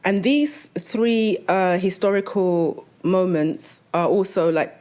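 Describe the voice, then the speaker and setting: A woman's voice: lowish voice, takes it slowly, a little mellow. A woman novelist talking about her own work as a panelist, in a conversational way that draws the audience in with questions.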